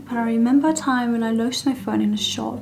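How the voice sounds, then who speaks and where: A young woman from Okinawa, Japan, with a Japanese accent and Japanese as her native language, narrating a scripted vlog in a calm, measured, soft-spoken way. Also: a little high, creaky, over music. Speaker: language vlogger.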